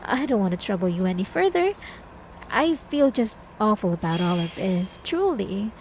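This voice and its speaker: A woman playing a sweet, devout, obsessive young church girl in an ASMR roleplay, speaking haltingly with apologetic, feigned innocence. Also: mid-range voice, very animated, slight background noise, low-quality recording. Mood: shy, hopeful. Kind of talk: ASMR roleplay drama, addressed to the listener.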